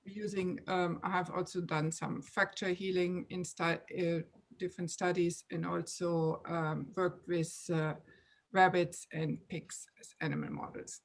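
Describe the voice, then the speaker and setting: A woman's voice: fairly low pitch, flat delivery, measured pace, vocal fry. A woman from Germany, introducing her scientific background on an online panel over a video call.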